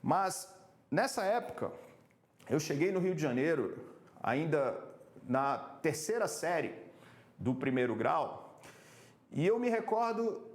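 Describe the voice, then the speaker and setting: A man from Salvador, Brazil, gives a motivational talk on public speaking with a slightly high voice. He talks in a measured, emphatic way and slows down to stress his points. The room around him is noticeably echoey.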